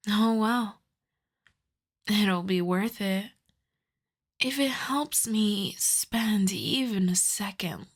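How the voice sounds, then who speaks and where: A woman acts out a female vampire, possessive and seductive, in an intimate ASMR roleplay. Her pitch is medium and her pace is very slow and lingering. She teases softly, often slipping into a breathy half-whisper. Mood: adoring.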